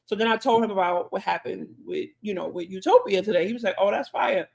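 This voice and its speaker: Animated woman co-host telling a story. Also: mid-range voice, quick pace, creaky. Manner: animated, acts out conversations in quotes. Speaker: podcast co-host. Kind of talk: casual storytelling chat.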